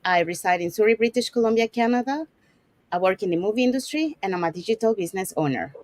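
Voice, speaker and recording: A woman's voice: mid-range voice, lively intonation, quick pace, darkish tone, no echo. Woman host from Panama, dry sound. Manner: many "um" fillers, friendly introductions. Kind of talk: casual online show hosting.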